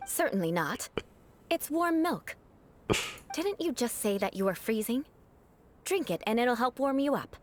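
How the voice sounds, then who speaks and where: A woman's voice: on the high side, lively intonation, measured pace, long pauses. A woman voicing a proud, elegant young noblewoman knight in a video game, formal, aloof and teasing. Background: game music.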